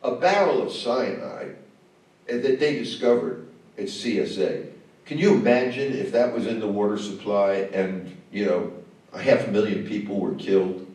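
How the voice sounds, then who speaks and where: A man with a lowish voice talks through a post-screening Q&A, stopping and restarting often. The sound is full of hall reverb. He is picked up from well away from the microphone. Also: a little mellow. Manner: halting, many fillers and restarts. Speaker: film director in post-screening Q&A. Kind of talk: on-stage conversation. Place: stage in a screening venue. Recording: echoey hall, mic far off.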